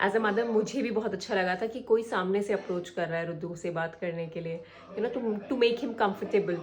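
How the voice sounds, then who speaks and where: Chatty mom vlogging. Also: female voice, mid-range voice, darkish tone. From India. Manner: chatty, mixes in English phrases. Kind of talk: casual vlog narration.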